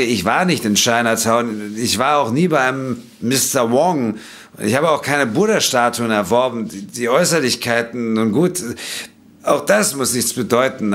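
A man playing a bitter, defensive doctor fallen on hard times, speaking haltingly in a German audio drama. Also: mid-range voice, fairly bright tone, close to an everyday voice. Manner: halting, stammering, agitated. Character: defensive, bitter, disgraced male doctor who drinks.

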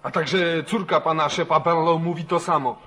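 An actor playing a suspicious, wavering landowner who questions sternly, in the style of old radio drama. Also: male voice, fairly high pitch, fairly even intonation, normal speed, a bit bright, natural voice. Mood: suspicious, accusing. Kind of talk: 1940s Polish radio drama acting.

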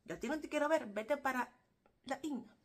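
A woman's voice: high voice, fairly quick. A woman in her thirties with a Cuban Spanish accent, talking casually and animatedly to camera from a small room, laughing easily. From Cuba. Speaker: vlogger. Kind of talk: casual explanatory vlog. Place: small room indoors, seated in armchair. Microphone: camera in front, medium close.

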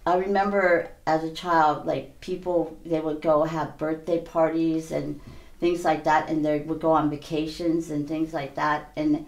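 Older woman from Hawaii, casting: deep low voice, candid halting interview talk, roomy echo. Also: flat delivery. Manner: halting, plainspoken, many "you know"s. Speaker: interview guest. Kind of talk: candid life story interview. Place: small indoor studio, seated before a backdrop.

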